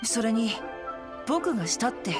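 Calm clever bookish teen boy, anime game, highish voice w lots of melody, music behind. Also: unhurried. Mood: assured, earnest. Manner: composed, polite, literary phrasing. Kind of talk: Japanese anime game voice acting.

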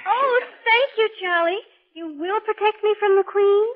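A woman's voice: very high-pitched, a little slow. A woman playing a sweet, trusting young princess in 1930s radio fantasy acting. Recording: dull 1930s radio broadcast.

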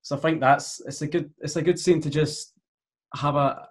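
A man's voice: fairly high pitch, fairly even intonation, moderate pace. A young man, a stage actor, giving a casual interview answer over a video call. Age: young adult. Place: room at home.

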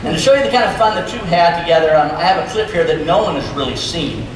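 A man's voice: on the high side, expressive, fast. A man hosting an informal stage presentation to an audience, recorded in a large auditorium from the seats. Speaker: event host presenting on stage. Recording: echoey large hall from far off, noisy.

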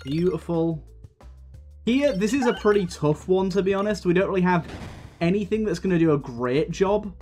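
A man with a slightly raspy voice from a coming cold, chatting casually as he plays and comments on a video game, thinking aloud with plenty of fillers. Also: sits high, animated, normal speed, a little mellow. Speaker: gaming video host. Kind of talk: casual let's-play commentary. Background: faint game music.

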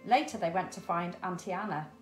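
A woman's voice: fairly low pitch, brisk, faint music behind. A middle-aged woman reading a children's story expressively. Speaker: storybook reader. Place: indoors, small room with cupboards. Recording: roomy echo.

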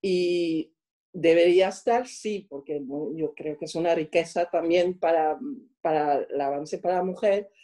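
French woman w accent being interviewed, soft dark mellow tone, kinda low, stops and starts looking for words. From France. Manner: halting, searching for words. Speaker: interviewed guest. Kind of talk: reflective interview answers.

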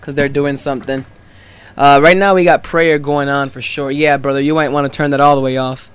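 A man's voice: medium pitch, steady tone, brisk, a bit bright, smooth. A man from the US Midwest, a radio host, chatting casually in the studio.

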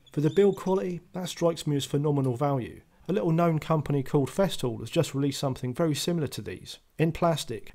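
A man's voice: on the high side, vocal fry, a little mellow. A man giving a scripted voice-over tool review, matter-of-fact with dry, understated asides.

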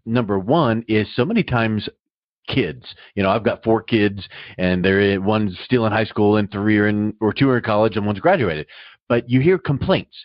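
A man's voice: fairly low pitch, dry recording, highs cut off. A middle-aged man hosting a casual interview, self-assured and emphatic, repeating words to stress his points. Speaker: podcast host and interviewer.

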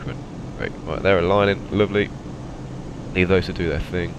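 A man with a cold and a slightly raspy voice, chatting casually through a flight simulator cockpit setup. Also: sits low, fairly quick, dull audio, noisy background. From England. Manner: relaxed, chatty, many ums and okays. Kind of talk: casual narrated cockpit walkthrough.

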